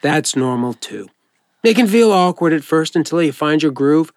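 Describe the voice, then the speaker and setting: A man playing a friendly, informal doctor who gently encourages and reassures a patient in an audio drama. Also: a little high, lively intonation, normal speed, dry recording, studio quality, natural voice. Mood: friendly, upbeat. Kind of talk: naturalistic audio drama acting.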